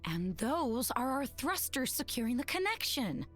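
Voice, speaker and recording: A woman playing a determined, clever teenage alien girl cadet in an animated game. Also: sits high, a little slow, soft background music, exaggerated voice.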